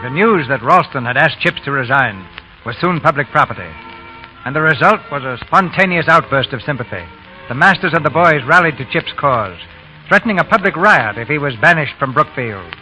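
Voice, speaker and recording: A man's voice: on the high side, expressive, fairly quick, sharp tone, soft background music, poor audio. A man hosting and narrating a 1930s radio drama broadcast in a formal, polished, declamatory scripted style.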